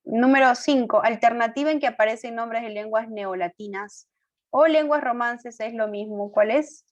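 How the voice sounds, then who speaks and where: A woman's voice: on the high side, normal speed, muffled recording. A woman teacher going over quiz answers with her students in an online class, coaxing them with questions, affirming with short fillers and correcting her own slips. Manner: corrects herself aloud, apologetic.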